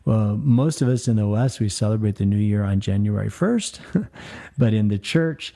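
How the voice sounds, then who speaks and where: A priest hosting a call-in radio program, talking in a friendly, chatty way. His voice sits low and sounds mellow and dark. He fills his speech with small hesitations and easy laughs. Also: moderate pace, vocal fry. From United States. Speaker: radio show host, priest.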